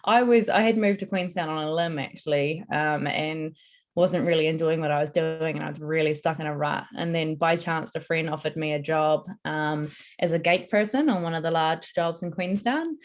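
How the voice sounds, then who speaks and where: A woman's voice: low voice, moderate pace. A young woman answering interview questions in a casual, earnest and enthusiastic way. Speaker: interviewee, construction apprentice.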